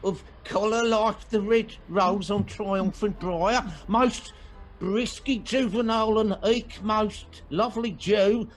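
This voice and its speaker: Woman as timid, bumbling amateur thespian in Shakespeare radio play. Very slow, halting, stilted line readings, mid pitch. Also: fairly even intonation, faint music behind. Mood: halting, unsure.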